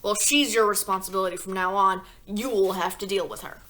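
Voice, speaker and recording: A woman voicing a rude, impatient little man who is the girl's father, speaking gruffly and loudly. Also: mid-range voice, steady tone, fairly quick, natural voice. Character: rude male father, small ratty man.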